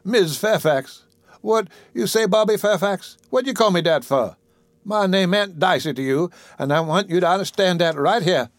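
A man voicing a bossy, motherly old Southern woman with a drawling accent, speaking in a high put-on voice. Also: animated, dry recording. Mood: scolding, motherly. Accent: American Southern. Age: older. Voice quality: high put-on voice, drawn-out dialect speech.

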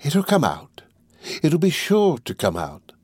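A man voicing a wily, quick-tempered old gang master in a storybook reading, with a drawn-out, coaxing delivery. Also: medium pitch, lively intonation, vocal fry, dry recording, studio quality. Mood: soothing, coaxing. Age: older.

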